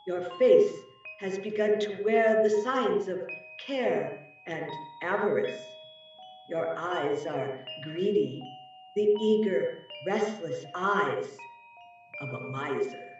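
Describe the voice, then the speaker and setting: A woman playing a solemn, reproachful spirit, speaking in a slow, theatrical and accusing manner in a dramatic reading. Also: fairly low pitch, a little mellow. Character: solemn, reproachful female Ghost of Christmas Past. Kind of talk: dramatic reading of a Victorian Christmas story. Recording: muffled, very echoey room, distant mic, music.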